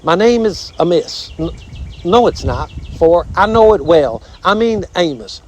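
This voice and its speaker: A man's voice: high-pitched, sing-song, a little slow, a little mellow, slight background noise, voice pushed up. A man voicing a folksy, pompous old armadillo uncle with a Southern drawl, blustering and repeating himself, in a storybook reading. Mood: courtly, genial.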